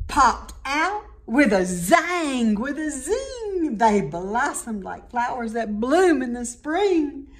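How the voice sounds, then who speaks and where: A woman's voice: fairly high pitch, a bit bright. A woman reading a rhyming children's story aloud with dramatic, emphatic expression. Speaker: storybook reader.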